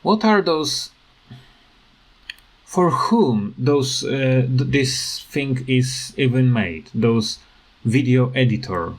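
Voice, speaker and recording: A man delivers a casual, complaining commentary over game footage. His pace is slow and his pitch sits in an ordinary middle range. The phrasing is halting and non-native, as he searches for how to put each point. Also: expressive. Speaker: gaming video creator.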